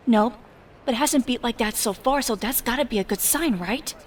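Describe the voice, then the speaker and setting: An actress playing a determined but wistful teenage superhero in an audio drama, speaking casually and plainly. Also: female voice, fairly high pitch, normal speed, natural voice. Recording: slightly distant, faint noise.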